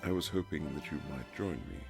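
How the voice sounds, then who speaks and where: A man playing a reserved, formal Regency husband who is awkwardly tender, speaking in halting period phrases in a romantic audio roleplay, with a gravelly voice. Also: a bass voice, animated, over music, exaggerated voice. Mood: relieved, playful.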